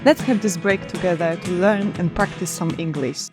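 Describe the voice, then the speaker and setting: A woman teaching an online English lesson, clear, upbeat and encouraging, slowing down to stress example phrases. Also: lowish voice, darkish tone, faint music behind.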